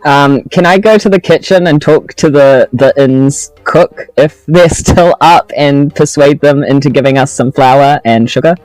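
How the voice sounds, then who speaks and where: A woman's voice: deep voice, unhurried, hardly any pauses, darkish tone, dry recording, faint music behind, low-quality recording. A woman taking part in a tabletop role-playing game with casual, in-character chat. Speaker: podcast player in tabletop game.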